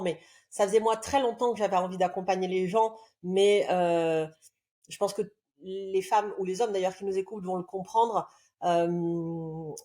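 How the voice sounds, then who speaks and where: Warm-toned woman in her forties, podcast guest, reflective and earnest, halting storyteller. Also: mid-range voice. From southern France. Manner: reflective, earnest, many hesitations. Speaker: love coach guest. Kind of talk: podcast interview, personal storytelling.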